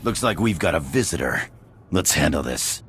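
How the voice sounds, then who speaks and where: An actor voicing a gruff, contemptuous terrorist enforcer in a video game, curt and menacing. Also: male voice, very deep voice, very animated, normal speed, a bit bright, faint music behind, heavily acted.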